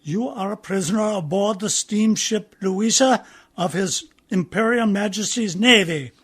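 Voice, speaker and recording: An actor playing a stern, pompous naval officer in a radio play, questioning in a formal, commanding way. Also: male voice, sits high, unhurried, fairly bright tone, husky, heavily acted.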